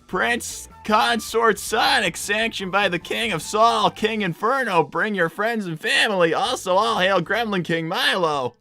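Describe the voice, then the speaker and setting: Flustered, bright-voiced young boy fox, acted out. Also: medium pitch, measured pace, gravelly, few pauses, faint music behind. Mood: exasperated. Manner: stammering, flustered. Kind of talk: improvised character roleplay on a livestream.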